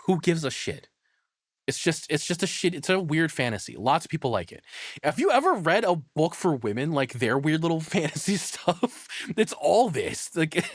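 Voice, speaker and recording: A man's voice: medium pitch, dry recording. A man reacting casually as a streamer, blunt and crude in how he talks. His mid-range voice moves up and down a lot. The recording is close and dry, with no room sound. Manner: blunt, crude, swears freely.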